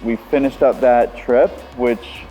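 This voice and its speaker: A man's voice: mid-range voice, steady tone, slow, muffled recording, soft background music. A man from the United States chatting casually in a travel vlog, talking easily and conversationally, recorded outdoors at a harbor. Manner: easygoing, conversational, loose with fillers. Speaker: vlogger.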